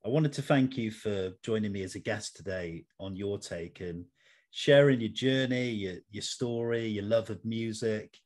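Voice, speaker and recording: A middle-aged man hosting a conversational interview in a measured, polite, slightly formal manner. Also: lowish voice, darkish tone. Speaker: interview host.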